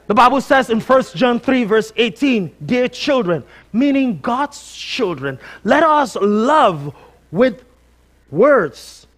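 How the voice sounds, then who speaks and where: A middle-aged man with a Nigerian accent giving a conversational sermon as a guest preacher, telling personal stories with emphatic repetition. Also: high-pitched. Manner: animated storytelling, emphatic repetition. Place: church sanctuary, on stage before a congregation.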